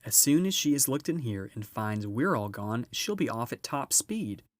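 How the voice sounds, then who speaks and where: A man's voice: medium pitch, very animated, moderate pace, dry recording, close to an everyday voice. A man voicing an anxious young girl in a storybook, worried and pleading with urgency.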